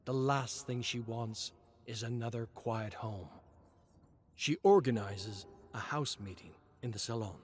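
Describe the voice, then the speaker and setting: A man reading scripted dramatic fiction in an expressive, measured storytelling style. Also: mid-range voice. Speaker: narrator. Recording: clear background noise, ambience.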